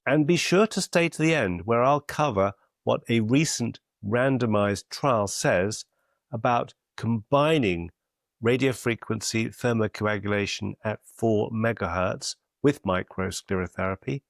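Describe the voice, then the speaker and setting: A middle-aged man with a low, gravelly voice explains technical material in a measured, deliberate way. He leans hard on the key terms. The sound is dry and close to the mic, with no room around it. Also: dry recording. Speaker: clinical educator. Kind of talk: scripted educational explainer.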